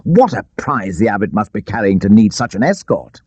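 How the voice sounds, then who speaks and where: An actor playing a bold, gleeful outlaw leader giving rousing, declamatory orders in an audiobook adventure. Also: male voice, on the low side, sing-song, brisk, darkish tone, husky, dry recording, muffled recording, pitched above the performer's own voice.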